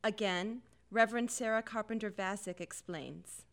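A woman narrates a documentary, formally introducing each speaker in turn. Her voice sits at a middle pitch and sounds smooth and crisp. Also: normal speed.

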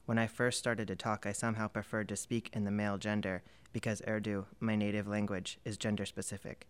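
Smooth, lower-voiced man reading calmly. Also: brisk. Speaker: reader. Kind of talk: first-person memoir reading.

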